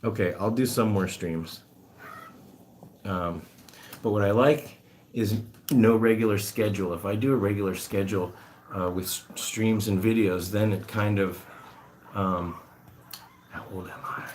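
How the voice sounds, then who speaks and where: A low-voiced man in his forties keeps up a loose, rambling live-stream chat. He sounds self-amused and easygoing as he talks to viewers between chores at home. A faint hiss sits under his voice. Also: steady tone, a little slow, pauses often, darkish tone. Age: 40s. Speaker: animal rescuer streaming to viewers. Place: home with pet guinea pigs.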